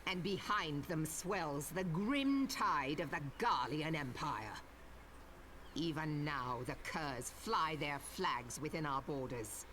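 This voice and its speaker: An actress playing a stern, commanding admiral in a video game, delivering grave nautical oratory in archaic English. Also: female voice, low voice, expressive, a bit bright, slight background noise, heavily acted. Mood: grave, warning. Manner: grave, deliberate, declamatory. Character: stern commanding female admiral rallying her pirate crew.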